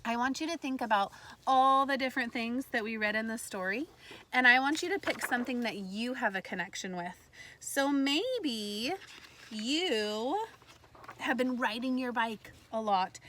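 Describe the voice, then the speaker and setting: A woman's voice: fairly high pitch, a bit bright, a little hiss or hum. A woman teacher leading a read-aloud lesson for young children, explaining things simply and prompting her listeners. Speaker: elementary school teacher.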